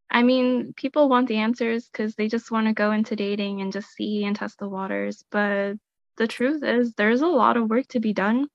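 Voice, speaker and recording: A woman's voice: medium pitch, no room sound. A woman guest on a podcast, giving firm, matter-of-fact advice. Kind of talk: casual podcast discussion. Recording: dull lo-fi audio.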